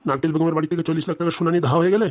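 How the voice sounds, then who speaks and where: Low, rapid, exasperated police officer, acted by a woman. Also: fairly even intonation, darkish tone. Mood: accusing. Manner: nagging, accusing, weary. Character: exasperated village police officer questioning a suspect. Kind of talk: comic cartoon dialogue.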